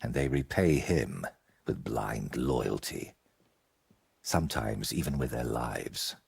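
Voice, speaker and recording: A low, husky older man, a troubled medieval painter in a video game. His speech swings widely in pitch, earnest and haunted, weighed down by guilt and desperation. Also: lively intonation, slow, a little mellow, full character voice. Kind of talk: video game dialogue acting.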